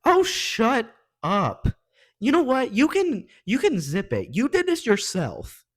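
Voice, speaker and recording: High-pitched, animated man reacting casually. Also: takes it slowly. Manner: exasperated, opinionated, slangy. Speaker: reaction video host.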